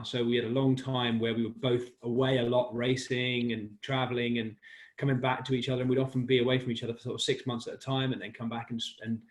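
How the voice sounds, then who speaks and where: A man's voice: mid-range voice, low-quality recording. A man in his forties from West London, talking casually and laughing easily as a guest in an interview about his life in sport. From West London, England. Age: 40s. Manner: chatty and self-deprecating, laughs easily. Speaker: guest, cycling commentator.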